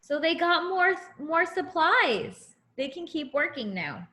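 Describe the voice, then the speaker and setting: A woman reading a picture book aloud to young children as a teacher, in an expressive, deliberate way with simple explanations. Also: sits high. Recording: echoey room.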